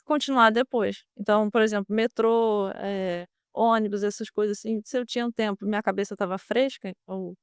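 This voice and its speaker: A young woman with a Brazilian Portuguese accent answers interview questions in a smooth, clear voice. She talks earnestly, with many fillers and restarts. The sound is dry and close. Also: mid-range voice, fairly even intonation, moderate pace, few pauses, no room sound. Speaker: guest, former student now a merchant navy officer.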